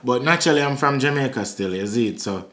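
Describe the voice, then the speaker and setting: A man's voice: medium pitch, expressive, fast talker, fairly bright tone. A man from New York hosting a prank video call, talking playfully in a put-on Jamaican patois. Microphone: phone on a video chat app.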